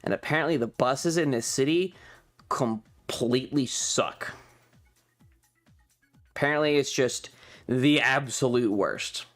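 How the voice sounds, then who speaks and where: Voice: medium pitch, slow, long pauses. A streamer of ambiguous gender telling a casual, rambling, exasperated story in a slightly worn-out voice. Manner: rambling, exasperated, acts out quoted dialogue. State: voice slightly going. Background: background music.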